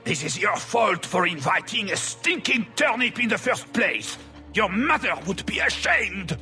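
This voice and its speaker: Shrill, blustering pompous baron. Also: male voice, sing-song, a little slow, sharp tone, faint music behind, full character voice. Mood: indignant. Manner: indignant, haughty, blustering. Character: pompous, hot-tempered, insulting Orlesian baron. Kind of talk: video game cutscene acting.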